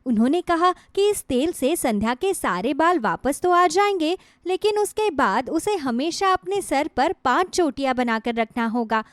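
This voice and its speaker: A woman's voice: high-pitched, lively intonation, measured pace, few pauses, no room sound, clean studio sound. A woman voicing a devoted, reassuring middle-aged mother in a moral story cartoon, earnest and soothing. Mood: explaining.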